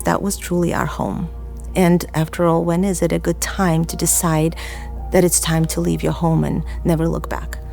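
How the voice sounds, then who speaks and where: Low-pitched woman from Azerbaijan, gravelly edge. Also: flat delivery, normal speed, a little mellow, faint music behind. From Azerbaijan and Russia. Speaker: church member giving testimony. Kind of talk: personal testimony storytelling.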